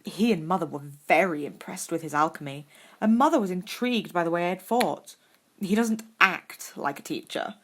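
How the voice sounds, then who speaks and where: A woman voicing an excitable, enthusiastic young schoolboy in a storybook reading. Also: lowish voice, normal speed, a little hiss or hum, natural voice. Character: eager, excitable, bright-eyed young schoolboy.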